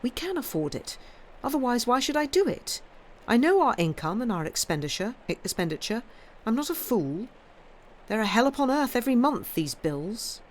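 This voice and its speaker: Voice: medium pitch, very animated, normal speed, a little hiss or hum, pitched above the performer's own voice, natural voice. A reader voicing a guarded young wife who answers in a light, airy, coaxing way. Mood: agitated.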